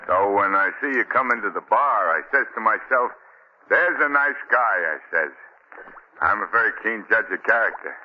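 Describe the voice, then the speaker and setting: A man's voice: fairly low pitch, animated, moderate pace, faint music behind, close to an everyday voice. An actor playing a naive, rambling down-and-out man with folksy, ungrammatical speech in 1940s radio style. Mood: chatty, curious. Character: chatty, naive down-and-out man, turning frantic. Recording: dull, noisy 1940 radio recording.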